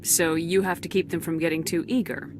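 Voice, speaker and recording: Woman as military commander in a scifi game, lowish voice, blunt and terse, music behind. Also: little pitch movement, fairly quick, natural voice. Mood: firm, questioning. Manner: terse, firm, direct. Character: blunt, no-nonsense female military commander, space agent. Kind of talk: scripted video game acting.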